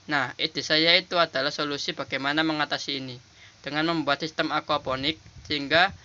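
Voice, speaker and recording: A young man with a cold speaks in a hoarse voice while he walks through his slides, halting and polite. His voice is bright and clear and sits a little high. It comes through a dull, lo-fi home recording. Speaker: student presenter. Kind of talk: class presentation over slides. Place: small shop.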